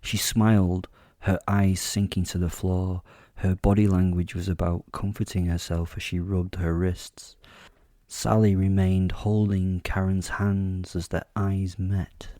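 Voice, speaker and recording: Guy playing a wry killer werewolf telling his story, audio drama, low mellow voice, no room sound. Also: flat delivery, measured pace, no echo, clean studio sound. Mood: wry, observant. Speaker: narrator. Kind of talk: first-person audio drama narration.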